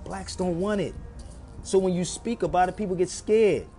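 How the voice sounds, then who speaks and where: A man's voice: high-pitched, moderate pace, warm tone, soft background music. A man hosting a livestream, talking to his viewers in an emphatic, preachy way and repeating phrases for effect. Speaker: live stream host.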